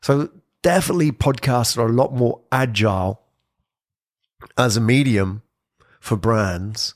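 A man giving an informal explainer talk on podcasting, lively and conversational, with frequent "sort of" hedges. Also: medium pitch, a little slow, no room sound, clean studio sound. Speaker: presenter on brand podcasting.

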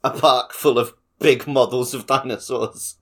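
A man's voice: mid-range voice, steady tone, a little slow, a bit bright. A man chatting casually as a podcast co-host. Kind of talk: casual chat.

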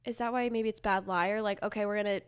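A woman's voice: mid-range voice, fairly even intonation, quick pace, clean tone. Woman in casual, giggly chat. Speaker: podcast guest, comedian.

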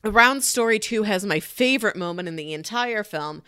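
A woman with a mid-pitched voice talks casually as a podcast co-host discussing a film. She retells scenes in a conversational way, voicing the dialogue as she goes. The sound is dry, with very little room in it. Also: expressive, normal speed, pushed and bright, smooth, no echo.

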